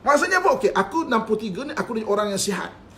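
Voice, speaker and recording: A man's voice: high voice, sing-song, racing, fairly bright tone. A man with a Malaysian Malay accent giving a live-streamed religious lecture. He is playful, keeps asking whether his listeners follow, and often chuckles. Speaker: religious teacher.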